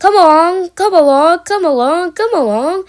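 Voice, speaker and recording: Woman voicing an excitable high-pitched storybook engine. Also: moderate pace. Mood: determined. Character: James, proud eager male tank engine, easily upset. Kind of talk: children's storybook character reading.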